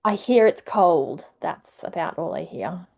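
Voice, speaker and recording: A woman's voice: fairly low pitch, animated, measured pace, creaky, a little mellow. A woman with an Australian accent, hosting a podcast interview and asking friendly, curious questions. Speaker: podcast host. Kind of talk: casual interview chat.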